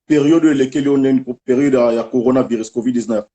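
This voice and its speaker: Mellow-voiced interview host. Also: male voice, mid-range voice, fast. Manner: switching between French and Lingala. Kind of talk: casual live interview.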